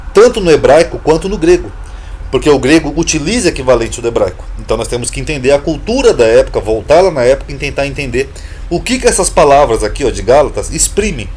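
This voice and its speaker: A man's voice: a little high, a little mellow, a little hiss or hum. Emphatic Brazilian man teaching scripture. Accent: Brazilian Portuguese. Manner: emphatic, repetitive, explaining with rhetorical questions. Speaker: Bible teacher, channel host. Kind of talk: informal Bible lesson to camera.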